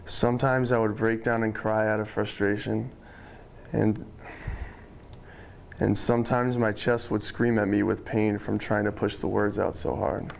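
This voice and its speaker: Young man, low gravelly voice, slow careful delivery of a prepared speech to a small room. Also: a little mellow. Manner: slow, deliberate controlled speech. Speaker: speaker giving a graduation speech. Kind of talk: prepared speech read to an audience. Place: room with a small audience of friends and family.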